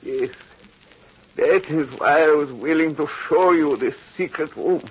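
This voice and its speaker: A dying old man in an old radio play, calm, grim and defiant. He speaks very slowly in a husky voice, and the sound is muffled 1940s broadcast. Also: a little high. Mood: grimly calm. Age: older. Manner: slow, quiet, grimly resolute. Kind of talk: 1940s radio drama acting.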